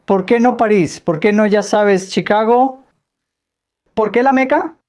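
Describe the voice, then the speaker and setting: A woman's voice: medium pitch, a little slow, a little mellow. Woman explaining patiently, quizzing listeners. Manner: patient, explanatory, quizzes the audience with light jokes. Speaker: speaker answering audience questions on Islam. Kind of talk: Q&A lecture in Spanish voice-over.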